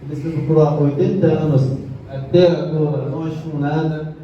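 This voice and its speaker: A man with a heavy Arabic accent lectures in a halting, broken way, often restarting his sentences. His slightly high voice comes from far off in a very echoey room, and the recording sounds dull and muffled. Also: steady tone. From Jordan, Palestinian family. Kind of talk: classroom lecture with audience questions. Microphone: distant microphone.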